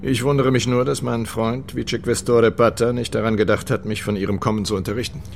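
An actor playing a cold, evasive military academy commandant, curt and condescending, in a radio crime drama. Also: male voice, mid-range voice, flat delivery, fairly quick, vocal fry, slight background noise, lightly acted. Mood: haughty, cool. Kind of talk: naturalistic German radio drama acting.

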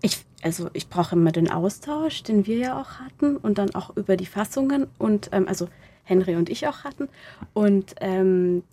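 A woman's voice: mid-range voice, animated, normal speed, mellow. A woman speaking as a writer in an interview, hesitant and searching, often repeating words mid-thought. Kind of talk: interview conversation.

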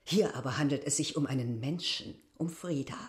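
An actress as an old, heavyset village landlady in a literary radio play. Her voice is low for a woman, and she speaks gravely, insistent and protective. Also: brisk, lightly acted. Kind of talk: German literary radio drama acting.

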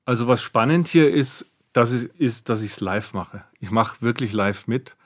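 A man's voice: medium pitch, expressive, unhurried, no room sound. Man explaining his sound craft, dry studio. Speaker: sound effects artist. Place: radio drama studio.

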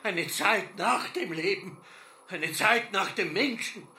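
An old Austrian farmer father, dying yet defiant and obsessed. His high voice is very tense and sharp, declaiming grandly as in a radio play. It sits in an echoing room with faint noise behind. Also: slow, heavily acted. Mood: defiant, exultant. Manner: declamatory, stubborn, exultant. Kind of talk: literary radio drama acting.

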